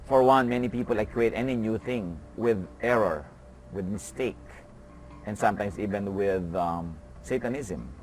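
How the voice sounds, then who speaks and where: A man's voice: fairly low pitch, normal speed, faint music behind, low-quality recording. A man from the Philippines, speaking earnestly and explaining things in a documentary interview.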